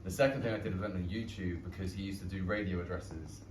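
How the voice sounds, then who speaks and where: A man's voice: low-pitched, fast talker. A young man with a London Irish accent, an actor chatting casually and self-deprecatingly after a show. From London and Dublin. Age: young adult. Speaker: stage actor. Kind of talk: casual post-show talk. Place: small pub theatre, indoors. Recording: echoey room, distant mic, background noise.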